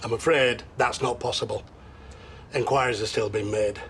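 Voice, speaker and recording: A man's voice: mid-range voice, steady tone, normal speed, a bit bright, natural voice. An actor playing a flustered, officious male police officer in a screen drama. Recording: very noisy crowded clip played back.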